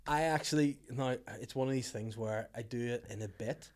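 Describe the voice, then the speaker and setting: A man's voice: medium pitch, moderate pace, darkish tone, a little hiss or hum. A man with a Northern Irish accent hosting a casual comedy chat, rambling and bantering as he goes. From Northern Ireland. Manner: bantering, rambling, slips into acted quotes. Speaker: podcast host, comedian and actor.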